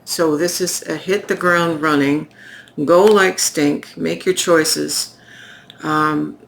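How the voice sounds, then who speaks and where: Woman, tarot reader, croaky voice, very slow, gravelly, reflective pauses. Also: deep voice, fairly even intonation, slight background noise. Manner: slow, reflective, pausing, with fillers. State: croaky, recovering from illness. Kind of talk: unscripted spoken tarot reading.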